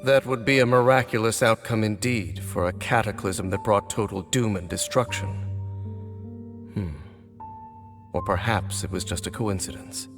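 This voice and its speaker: An actor playing a calm, solemn ancient knight in a video game, speaking in a measured, composed way. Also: male voice, on the low side, in a large hall, over music, lightly acted. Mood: grave. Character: grave ancient male knight, calm and knowing.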